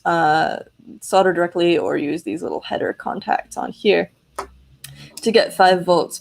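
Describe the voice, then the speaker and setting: A woman hosting a casual live electronics demo, chatty and enthusiastic as she explains hardware. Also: medium pitch. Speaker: host of an electronics prototyping livestream.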